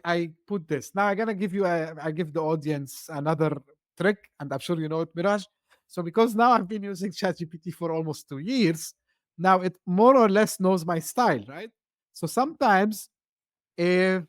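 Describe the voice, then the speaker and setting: A male podcast host who is not a native English speaker chats loosely and keeps dropping in "you know," his voice sitting a bit high. The sound is close and dry, with no room around it. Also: no room sound. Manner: talkative, loose phrasing full of "you know". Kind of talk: casual interview chat.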